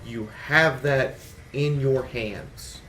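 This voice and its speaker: A man delivers blunt, opinionated game commentary in a medium-pitched voice. He leans hard on points and repeats phrases for emphasis. The sound is roomy, with music playing behind him. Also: drawn-out words, pushed and bright. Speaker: game reviewer and YouTuber.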